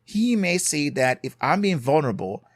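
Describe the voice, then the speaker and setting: A man's voice: fairly high pitch, very animated, moderate pace, no room sound, clean studio sound. A man with a Jamaican accent hosting a solo podcast, giving earnest marriage advice, stressing key points by repeating them and checking in with "right?".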